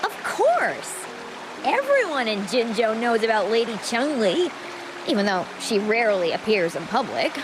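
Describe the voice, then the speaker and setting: A woman playing an earnest, admiring townsperson in a video game, with an eager and expressive delivery. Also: fairly high pitch, moderate pace, background noise.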